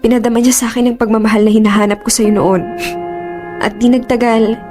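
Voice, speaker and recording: Pleading Filipina bride-to-be played by a woman, high-voiced. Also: steady tone, a little fast, over music, close to an everyday voice. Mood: earnest. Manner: emotional, imploring. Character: earnest, pleading young bride-to-be, torn and tender. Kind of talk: Filipino radio drama acting.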